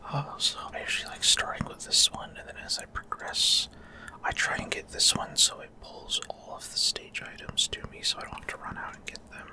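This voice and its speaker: Guy whispering thru a video game for asmr, breathy, kinda rambly and hesitant. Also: fairly quick. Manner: hesitant, rambling explanation in short phrases. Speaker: gaming video creator. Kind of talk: whispered ASMR gameplay commentary.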